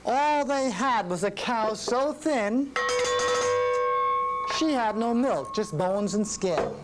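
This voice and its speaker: A woman tells a children's story at an unhurried, slow pace. Her phrasing is simple and deliberate, and music is loud behind her. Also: on the high side, animated, low-quality recording. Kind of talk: children's story narration, introducing musical instruments.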